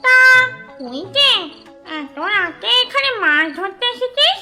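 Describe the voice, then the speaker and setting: Very shrill, bossy cartoon ghost. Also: female voice, very animated, heavily acted. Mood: taunting. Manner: scolding, taunting, drawn-out menacing delivery. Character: bossy, lovestruck female fish-eating ghost. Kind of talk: Bengali comic horror cartoon voice acting. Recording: loud children's jingle music behind. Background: loud tinkling cartoon music.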